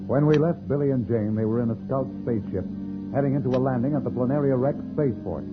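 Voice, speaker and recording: A man's voice: mid-range voice, fast. A man announcing and narrating a 1950s radio adventure serial in a dramatic scripted style. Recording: tinny old radio recording over loud music. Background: loud music.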